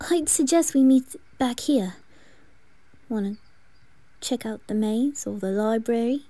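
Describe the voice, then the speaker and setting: A woman playing a smitten, flustered young highborn man in an ASMR roleplay, murmuring softly and hesitantly. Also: dry recording. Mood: teasing, shy. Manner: soft, hesitant, breathy murmuring.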